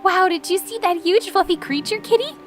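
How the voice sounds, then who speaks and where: A woman voicing a curious, innocent, excitable young girl in a storybook game, wondering and exclaiming. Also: extremely high pitch, brisk, soft background music, voice pushed up. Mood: excited.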